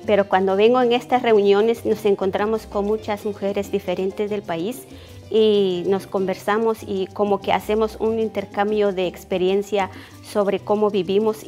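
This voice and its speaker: Guatemalan woman, 40s, mellow flat voice, music faintly behind. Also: medium pitch, normal speed. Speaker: interviewee. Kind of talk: testimony.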